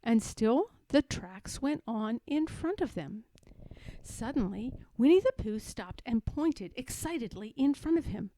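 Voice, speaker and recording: A woman's voice: a little high, animated, measured pace, dark tone, no echo, rough recording. A woman reading a children's storybook aloud as a narrator. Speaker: storybook reader.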